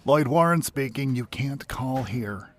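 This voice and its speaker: A man's voice: medium pitch, lively intonation, a little slow, noisy background, close to an everyday voice. A man playing a guarded, sarcastic hospital security worker in an audio drama, curt and weary. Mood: curt, official. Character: bitter, guarded hospital security contractor, reluctant old friend.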